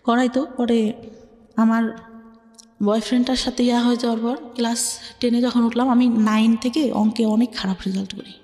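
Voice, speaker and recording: A young woman with a Bangladeshi Bengali accent tells her life story to an interviewer, speaking softly and hesitantly with many filler words. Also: on the high side, flat delivery, moderate pace, big-room sound, faint music behind. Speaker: interview guest. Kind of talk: personal life story in conversation.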